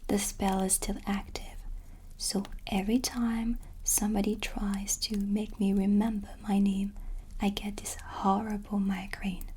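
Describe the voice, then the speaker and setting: Woman as a slow, whispering gentle witch. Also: medium pitch. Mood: resigned, gentle. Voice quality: soft, breathy whisper. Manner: slow, soothing, unhurried. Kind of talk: whispered cinematic ASMR roleplay.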